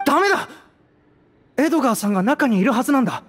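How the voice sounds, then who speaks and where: A woman voicing a hot-headed, loyal young male mercenary in an anime game, with impassioned, stubborn, rough speech. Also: high-pitched, expressive, very fast. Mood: agitated disbelief. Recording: roomy reverb, faint music behind.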